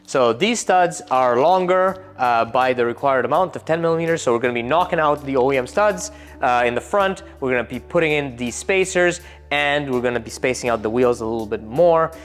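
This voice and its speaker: A man's voice: mid-range voice, brisk, soft background music. A man hosting a technical car build video, explaining parts in a casual way with jokey asides, in a workshop. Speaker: car tuning shop host.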